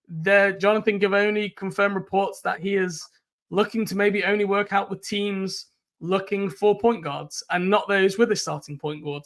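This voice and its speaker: Croaky english guy hosting a sports pod, higher voice, chatty. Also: a bit bright. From England. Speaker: podcast host. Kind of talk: casual sports podcast chat.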